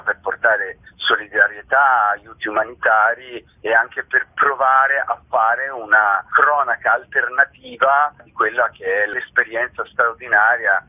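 A man's voice: on the low side, breathy edge. A man being interviewed on radio as a theatre director, speaking in long, winding sentences over a telephone. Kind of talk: radio interview, promoting a stage show. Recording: tinny phone line.

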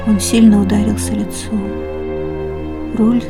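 A woman playing a grave, subdued figure in a radio play, speaking in a hushed, sombre literary manner. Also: mid-range voice, flat delivery, measured pace, lightly acted. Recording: radio drama under loud ambient music.

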